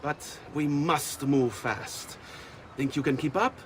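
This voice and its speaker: An actor playing a sly, self-assured master thief with an Italian accent, teasing and theatrical in a game cutscene. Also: male voice, medium pitch, takes it slowly, a bit bright. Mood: brisk, teasing. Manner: teasing, theatrical, chuckling knowingly. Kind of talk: video game cutscene acting. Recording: faint background noise and ambience.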